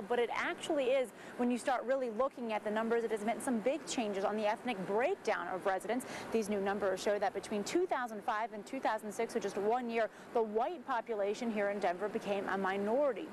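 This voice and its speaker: American woman reporting live over street noise. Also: on the high side. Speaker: live television news reporter. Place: outdoors on a city street. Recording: clear outdoor street noise behind.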